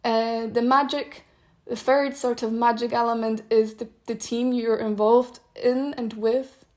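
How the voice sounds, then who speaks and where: A young woman whose native language is Polish talks through her thoughts on a solo podcast. Her voice sits a little high but stays fairly level in tone, and she muses in a meandering way, with soft laughs between ideas. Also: little pitch movement, unhurried. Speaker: podcast host. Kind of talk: solo reflective podcast monologue.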